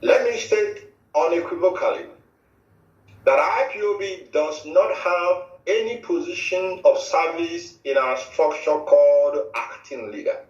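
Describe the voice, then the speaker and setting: Nigerian man, middle-aged, slow halting spokesman, distant echoey lo-fi audio. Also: a little high. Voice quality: halting, many restarts and fillers. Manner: emphatic, repetitive declarations. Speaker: movement spokesman interviewed on radio. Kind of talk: formal radio statement.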